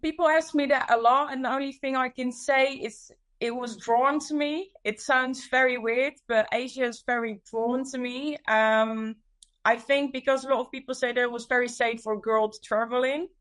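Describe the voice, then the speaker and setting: A woman's voice: high-pitched, fairly even intonation, normal speed, a bit bright. A young woman from the Netherlands with a Dutch accent, chatting casually as a guest in an interview. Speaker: podcast guest, women's coach.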